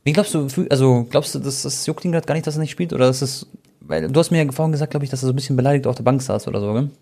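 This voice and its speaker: Fast-talking young man with a mellow voice. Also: medium pitch. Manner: colloquial, slangy youth speech. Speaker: football talk co-host. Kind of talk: casual chat about football.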